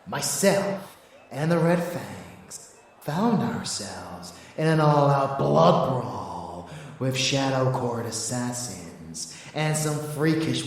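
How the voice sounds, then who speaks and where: A man acting as a male vampire lover, low-voiced and intimate, murmuring with dark devotion in an ASMR roleplay. His delivery is extremely unhurried, soaked in echo. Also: far from the mic, a little hiss or hum, full character voice. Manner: slow, hushed, murmured endearments. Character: rebellious male vampire lover, doting, darkly scheming. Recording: heavy echo, music underneath.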